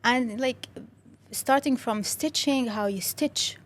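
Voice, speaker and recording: A woman's voice: fairly high pitch, slow, slight background noise. Young syrian woman talking on an interview, arabic accent, chatty with lots of ums and restarts. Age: young adult. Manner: friendly, many fillers and restarts, slips into Arabic fillers. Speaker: interview guest, creative director. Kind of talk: casual interview talk.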